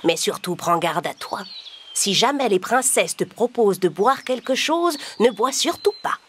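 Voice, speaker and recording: A woman's voice: medium pitch, lively intonation, moderate pace, close to an everyday voice. A woman voicing a kindly, poor old peasant woman in a children's fairy-tale cartoon, speaking in a pleading, solemn way. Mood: solemn, caring.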